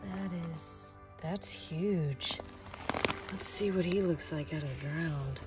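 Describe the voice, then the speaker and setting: Low-pitched woman chatting enthusiastically outdoors. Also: slow, over loud music. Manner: enthusiastic, informal, exclamatory. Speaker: vlogger and rock-hunting host. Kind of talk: casual outdoor vlog chat. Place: outdoors on a rocky shore.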